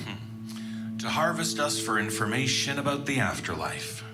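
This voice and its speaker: An actor playing a bitter, suspicious survivor in a horror audio drama, terse and confrontational. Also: male voice, fairly low pitch, animated, a little slow, music in the background.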